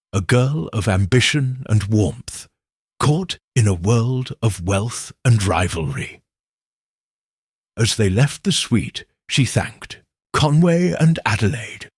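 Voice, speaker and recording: A man reading a mystery story as scripted narration in a measured storytelling manner. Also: mid-range voice, husky, no room sound, studio quality. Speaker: audiobook narrator.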